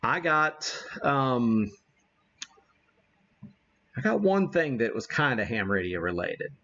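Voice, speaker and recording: A man hosting a casual, unscripted live radio-hobby demo, thinking aloud in a halting way as he works the equipment. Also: medium pitch, lively intonation, sharp tone, highs cut off. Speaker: livestream host, amateur radio operator.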